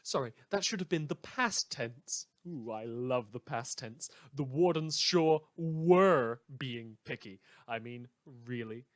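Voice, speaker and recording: A man's voice: medium pitch, expressive, slow pace, few pauses. A man acting as a snide, theatrical small-town radio host, reading mock news in a scripted fan drama. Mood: sardonic. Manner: sardonic, theatrical, swinging from muttered asides to outbursts.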